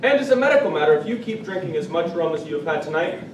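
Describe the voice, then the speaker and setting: A man acting out a stern gentleman doctor, quick and clipped, with a raised, commanding voice. He sounds distant in an echoey room. Steady noise runs behind him. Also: on the high side, lightly acted. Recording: echoey room, far mic, noisy.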